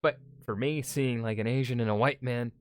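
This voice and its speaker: A man with a Midwestern American accent giving casual reaction commentary, halting and searching for words with many fillers. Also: mid-range voice, steady tone, smooth, dry recording, studio quality. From United States. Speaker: historian reacting to videos.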